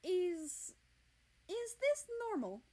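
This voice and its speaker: A woman's voice: very high-pitched, huge pitch swings, extremely slow, warm tone, pitched above the performer's own voice, full character voice. A woman voicing a polite, hesitant prince in a fantasy storybook, with a questioning manner. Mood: puzzled.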